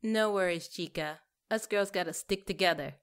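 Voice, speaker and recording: A woman's voice: fairly low pitch, moderate pace, clear, resonant tone, close to an everyday voice. A woman playing a blunt, caring young coworker in an audio drama, talking casually and reassuringly. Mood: friendly, casual.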